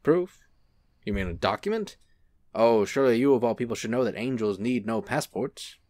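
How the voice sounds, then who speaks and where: A man playing a suave, serene angel in a radio-style drama, reassuring and gently evasive. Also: medium pitch, expressive, normal speed, no room sound, studio quality, natural voice. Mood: gently chiding. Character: suave, serene male angel posing as an assistant. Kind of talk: film-adaptation audio drama acting.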